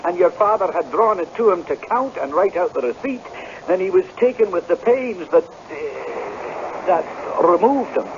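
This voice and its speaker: A high-pitched, desperate piper from an old radio play, quick to defer and quick to declaim. The recording is dull and clearly noisy. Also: male voice, sing-song, exaggerated voice. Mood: nervous, pleading. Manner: deferential stammering that turns to impassioned declaiming. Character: desperate tenant piper, humble then defiant storyteller. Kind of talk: old-time radio drama acting.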